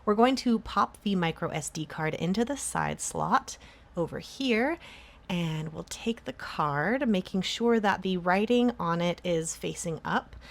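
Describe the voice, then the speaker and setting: A woman presenting a scripted how-to tutorial, explaining things step by step in a clear instructional way. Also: lowish voice, lively intonation, unhurried.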